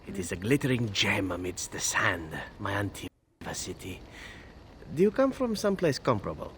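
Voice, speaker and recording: An actor playing a charming, roguish assassin from abroad in a video game, with teasing, florid and theatrical phrasing. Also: male voice, on the low side, big pitch swings, moderate pace, a bit bright, a little hiss or hum. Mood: wistful. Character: roguish, charming male foreign assassin, wistful about home. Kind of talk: video game character acting.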